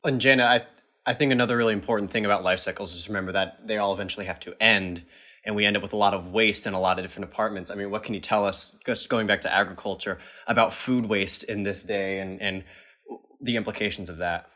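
A man with a fairly low but bright, forward voice hosts a conversation. He speaks quickly, in a conversational interviewing style. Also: steady tone. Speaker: talk show host.

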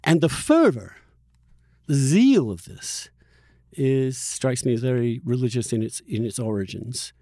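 A man's voice: mid-range voice, dark tone, no room sound, studio quality. A middle-aged man hosting an opinionated interview conversation, arguing his points emphatically with the odd chuckle. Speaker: podcast host.

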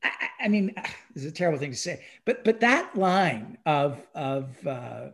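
Halting, stammering podcast talker. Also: medium pitch, animated. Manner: halting, stammering, repeats words; frequently tags phrases with "right?". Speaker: podcast speaker. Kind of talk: casual discussion of music history.

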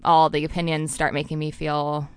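A young adult woman speaks as an astrologer guest in a relaxed interview. She rambles and leans on "like" and "um." Her voice is very low for a woman, very clear, and dry. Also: moderate pace, no room sound. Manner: rambling, many likes and ums. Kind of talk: casual interview chat.

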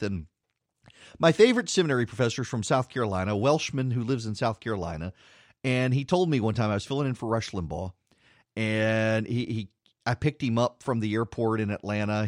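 A man's voice: fairly low pitch, no echo, studio quality. A man who hosts a radio show, talking about his faith in a reflective, self-deprecating way and often repeating words as he goes. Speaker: radio host. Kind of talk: reflective personal talk on faith.

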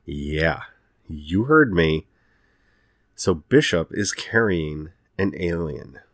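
A man giving casual solo podcast commentary as the host, speaking emphatically in short, halting phrases. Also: deep voice, expressive, dry recording, clean studio sound. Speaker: podcast host reviewing films.

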